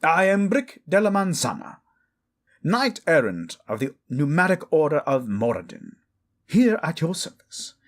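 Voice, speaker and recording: A proud knight errant in a fantasy audio story. He declaims grandly and formally in a raised, ringing pitch. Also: big pitch swings, unhurried.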